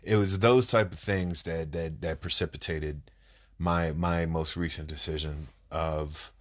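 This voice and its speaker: A congested man with a very deep, low voice talks through a cold, sounding nasal and stuffed up. He rambles and speaks bluntly and emphatically, co-hosting a casual podcast chat. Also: fairly even intonation, unhurried, a bit bright. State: congested, under the weather. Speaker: podcast co-host.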